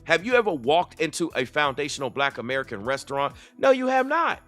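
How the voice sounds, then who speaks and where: Heated man with a bright, high voice. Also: sing-song, brisk, soft background music. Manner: heated, rhetorical, dialect-heavy. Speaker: reaction commentator. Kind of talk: reaction video commentary.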